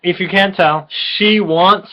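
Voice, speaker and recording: A man's voice: sits high, steady tone, slow, muffled recording. A slightly tipsy man bantering casually and reading aloud for comic effect in a crude, teasing, informal way. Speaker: co-host of a dramatic reading video.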